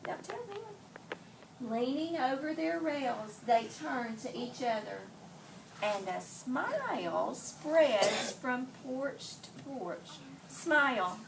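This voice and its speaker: A woman teacher reading a picture book aloud to young children in an animated storytelling manner, prompting them with questions and repeating their answers. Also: high-pitched, takes it slowly, creaky. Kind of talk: expressive read-aloud with questions to pupils. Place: indoor classroom. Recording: roomy, noisy classroom, distant microphone.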